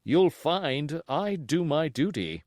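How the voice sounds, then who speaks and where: A stern sea captain, acted out by a male storybook reader, blunt and dutiful. His pitch swings widely as he drives each formal, curt phrase home, in a very dry recording. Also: sing-song, takes it slowly, darkish tone, no echo. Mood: frank, unbending.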